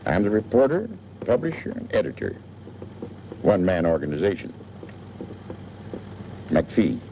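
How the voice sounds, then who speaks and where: A man's voice: low-pitched, very animated, a little slow, heavily acted. An actor playing a chatty small-town newspaperman in an old film, with an American accent and a folksy, rambling manner in clipped sentences. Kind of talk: 1940s film acting. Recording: dull old film soundtrack, faint noise. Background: car engine.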